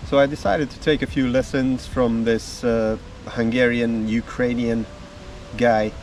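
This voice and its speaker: A man, talking outdoors in the woods as a drumming vlogger, easygoing and unhurried. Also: medium pitch, level tone, darkish tone, a little hiss or hum. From Slovakia. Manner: slow, easygoing storytelling with small pauses. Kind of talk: casual self-introduction to camera. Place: outdoors in woodland. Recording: distant mic with faint music behind.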